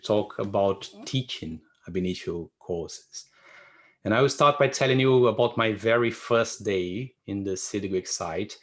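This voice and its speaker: A man who teaches languages, giving an earnest open-day talk with a few restarts. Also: deep voice. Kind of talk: online open-day presentation.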